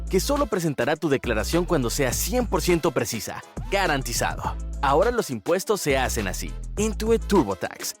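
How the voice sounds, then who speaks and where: A man reading a scripted commercial voice-over as an advertising announcer. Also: a little high. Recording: music under the voice. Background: music.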